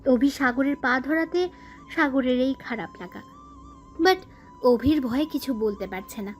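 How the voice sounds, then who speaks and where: Casting: female narrator for scripted romantic fiction, high voice, faint music bed underneath. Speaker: story narrator.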